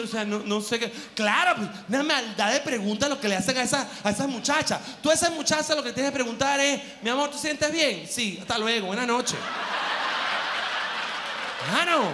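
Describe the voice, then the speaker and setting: Man, stand-up comic, very high voice, rambling with put-on quotes, handheld mic on theater stage. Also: background noise. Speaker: stand-up comedian. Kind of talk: stand-up comedy. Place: large theater stage with live audience.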